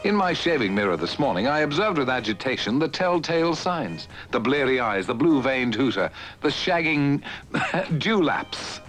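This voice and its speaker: A man on old radio reading a scripted humorous piece in a wry, drawn-out way. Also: medium pitch, big pitch swings, fairly bright tone, faint music behind. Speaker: radio presenter.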